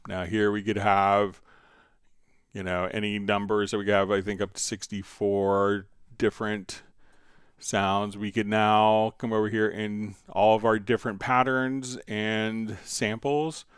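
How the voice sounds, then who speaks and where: A man with a slightly low, smooth voice hosts a casual software tutorial. He explains each step in an easygoing way. The sound is dry and close, with some music behind him. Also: level tone, a little slow, no room sound. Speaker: live stream host and music software instructor. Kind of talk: casual software tutorial, answering viewer questions.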